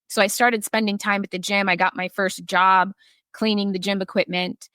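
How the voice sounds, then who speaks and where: A woman's voice: medium pitch, little pitch movement, normal speed, a bit bright, clean tone, dry recording. A woman guest on a podcast, telling personal stories in a frank, talkative way and swearing casually.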